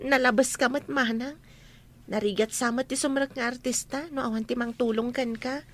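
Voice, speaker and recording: A woman's voice: high-pitched, a little slow. A young woman playing a meek, polite country housemaid in an Ilocano-accented radio drama. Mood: friendly, modest.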